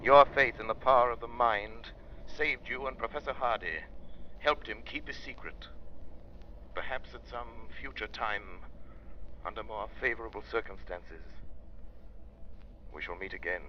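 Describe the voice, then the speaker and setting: An actor playing a mysterious, righteous crime fighter, taunting and declamatory in 1930s radio style. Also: male voice, mid-range voice, normal speed, long pauses, close to an everyday voice. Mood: assured. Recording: dull, noisy 1930s radio recording.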